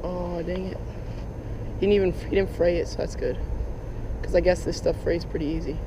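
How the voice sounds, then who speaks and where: Young male fishing vlogger, high-pitched and excitable, action-cam audio with outdoor noise. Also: fairly quick. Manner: chatty, excitable, lots of "like" and "oh my gosh". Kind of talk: casual running commentary while fishing. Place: outdoors on a lake bank in Florida. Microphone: head-mounted action camera.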